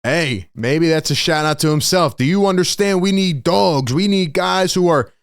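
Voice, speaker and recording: Excitable male sports reaction host. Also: a little high, moderate pace, clear, resonant tone, no room sound, clean studio sound. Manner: excitable, animated exclamations. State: slightly hoarse from yelling. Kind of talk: live reaction commentary.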